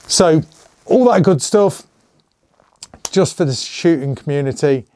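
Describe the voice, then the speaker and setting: A middle-aged man with a slightly hoarse voice, hosting a casual channel update and rambling with jokey asides. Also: fairly high pitch, a little slow, warm tone. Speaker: channel host. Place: small indoor gun room.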